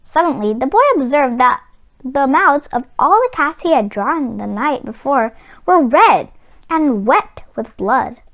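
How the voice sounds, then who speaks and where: Female storybook reader for children: high pitch, very animated melody, dramatic emphasis on key words. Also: unhurried. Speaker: children's read-aloud host. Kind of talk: expressive storybook read-aloud for children.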